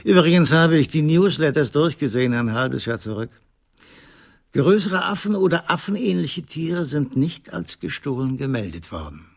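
Trockener, knarrender älterer Doktor. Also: male voice, a little high, animated, moderate pace, vocal fry. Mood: matter-of-fact. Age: older. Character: older doctor near retirement, dry, obliging. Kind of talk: radio drama acting.

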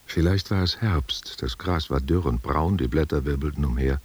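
An actor playing a guilt-haunted war veteran confessing to his lover in a halting, self-questioning monologue. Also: very deep voice, a little mellow, husky. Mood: grim, remembering. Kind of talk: literary audio drama monologue.

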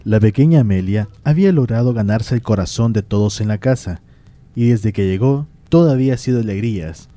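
Mellow man narrating a radio drama. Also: fairly low pitch, fairly quick, soft background music.

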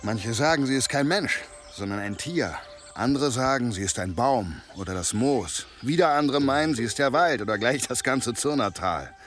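A man's voice: mid-range voice, expressive, moderate pace, fairly bright tone, faint music behind, natural voice. An actor playing a down-to-earth, sceptical village man in an audio drama, wry and matter-of-fact. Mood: explanatory. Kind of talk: German audio drama acting.

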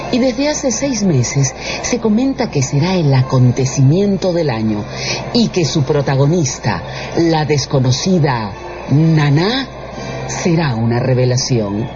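A wry female storyteller narrates a radio drama theatrically. Her low voice swoops expressively, in dull sound with clear noise behind it. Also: heavily acted.

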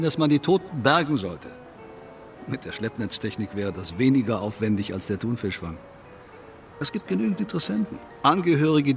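An actor in a German radio drama playing a cool, cynical pragmatist, blunt, needling and matter-of-fact. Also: male voice, mid-range voice, close to an everyday voice. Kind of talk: radio drama acting, literary dialogue.

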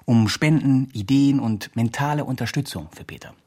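An actor playing an earnest, wordy do-gooder blogger making appeals in a radio drama. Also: male voice, mid-range voice, normal speed, mellow, a little hiss or hum, close to an everyday voice. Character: earnest do-gooder blogger campaigning for a homeless man. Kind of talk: scripted blog-like radio drama narration.

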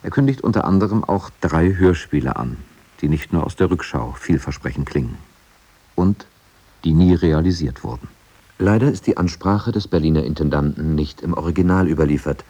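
A man narrates a scripted radio documentary in a low voice with a husky grain. His delivery is measured and factual. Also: animated, dark tone.

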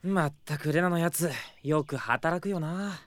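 An actor playing a brash, excitable young male thief in a Japanese radio drama, speaking informally. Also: medium pitch, measured pace, natural voice. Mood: flustered, fond. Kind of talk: Japanese anime-style radio drama acting.

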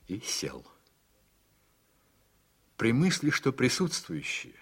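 A man narrating a radio play in a scripted literary style, with a husky voice. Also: lowish voice, a bit bright.

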